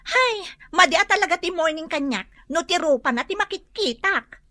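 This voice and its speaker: A woman's voice: very high voice, lively intonation, moderate pace, pushed and bright, no room sound, full character voice. An actress with an Ilocano accent playing a snippy, boastful young woman in a radio drama, with a haughty manner. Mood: snippy, scornful.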